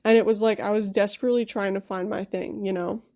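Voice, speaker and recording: A woman's voice: mid-range voice, fairly even intonation, quick pace, darkish tone. A young woman from Quebec telling her personal story to camera in a candid, chatty way, with frequent asides like "you know?". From Quebec, Canada. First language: English. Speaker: dental hygienist vlogger.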